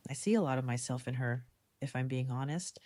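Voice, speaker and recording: A woman playing a composed, decisive community leader in an audio drama, speaking in a measured, diplomatic way. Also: very deep voice, darkish tone, dry recording. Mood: thoughtful, assured. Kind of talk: fan audio drama dialogue.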